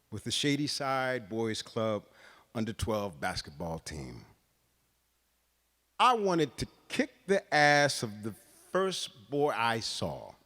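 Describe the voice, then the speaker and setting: A man reads a literary story aloud in a deliberate, expressive way, pausing between phrases. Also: medium pitch. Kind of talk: dramatic literary reading.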